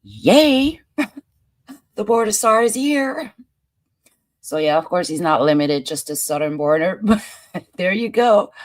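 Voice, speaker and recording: A woman's voice: fairly low pitch, lively intonation, a little slow, long pauses. A woman with a French accent, hosting a casual commentary show and chatting playfully about news clips. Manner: chatty, playful, laughs and muses aloud. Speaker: host.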